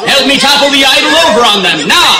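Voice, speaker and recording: An actor playing a bold, fearless space hero in a declamatory old-time radio serial style. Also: male voice, very high-pitched, lively intonation, fast, heavy background noise. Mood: urgent shouting. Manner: declamatory, shouting. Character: bold heroic space adventurer, young man, urgent and fearless. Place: outdoor night stage in the woods, distant camera. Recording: echoey outdoor stage, loud music and crowd.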